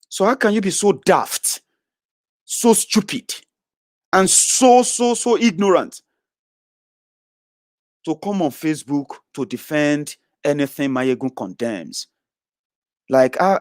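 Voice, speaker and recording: A man in his thirties ranting to his online followers, blunt and profane, swinging between loud outbursts and low mutters. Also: no echo, clean studio sound. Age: 30s. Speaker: online political commentator.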